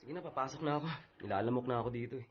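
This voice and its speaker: A man's voice: mid-range voice, rapid-fire, muffled recording, slight background noise, close to an everyday voice. A man acting as a lovesick, evasive younger brother in a Filipino radio drama, speaking casually and hesitantly. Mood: defensive, wistful.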